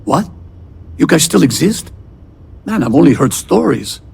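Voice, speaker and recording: Gravelly, raspy veteran space pilot. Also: male voice, fairly high pitch, sing-song, a little slow, a little mellow, full character voice. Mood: relieved, chatty. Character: chatty, rough-edged male veteran space patrol pilot. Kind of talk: video game character dialogue.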